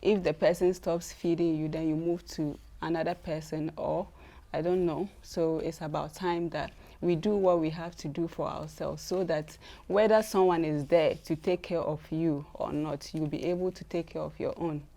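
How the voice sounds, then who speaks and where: Low gravelly-voiced woman, TV guest. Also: steady tone, moderate pace, mellow. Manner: earnest, hesitant with fillers. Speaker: guest, business founder. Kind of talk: TV studio interview.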